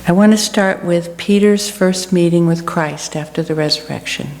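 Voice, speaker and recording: A woman giving an earnest, deliberate personal reflection to a church congregation. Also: on the low side, darkish tone. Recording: echoey hall with clear background noise.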